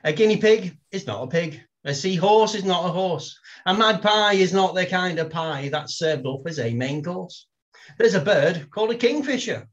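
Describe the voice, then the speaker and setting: A man's voice: sits high, lively intonation, normal speed, lo-fi sound. A man, a poet guest who chats wryly and reads a comic poem with playful timing. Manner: wry, self-deprecating, reads verse with playful timing. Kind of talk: casual chat and poetry reading.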